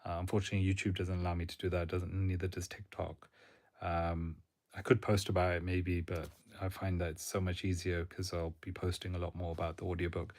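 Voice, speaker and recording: A man who gives career advice, chatting casually on a live stream in a monotone, rambling way with fillers. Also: low-pitched, brisk. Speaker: career coach.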